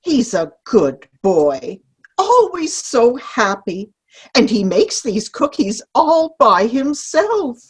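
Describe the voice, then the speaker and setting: A woman's voice: on the high side, big pitch swings, takes it slowly, husky, exaggerated voice. A woman playing a prim, polite and fussy grandmother in a comic play reading. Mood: fond. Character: prim, pious, fussy grandmother Millie, gentle hostess. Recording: video call play reading.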